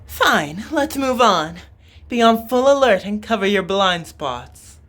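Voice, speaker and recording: A man's voice: high voice, very animated, slow, fairly bright tone, voice pushed up, exaggerated voice. A man voicing an alert fantasy game warrior, urgent and commanding. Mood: brisk, alert.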